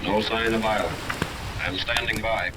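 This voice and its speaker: An actor playing a businesslike radio operator in a 1930s serial, speaking in clipped, procedural phrases. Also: male voice, lowish voice, fairly even intonation, moderate pace, lightly acted. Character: businesslike male radio operator on a distant set. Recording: echoey, distant, noisy old radio transmission.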